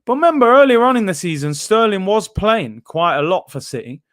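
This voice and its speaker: A man's voice: high-pitched, sing-song, fairly quick, fairly bright tone, dry recording, clean studio sound. A young man hosting a casual live stream, chatting loosely and reading out viewer comments. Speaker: watchalong host reading chat.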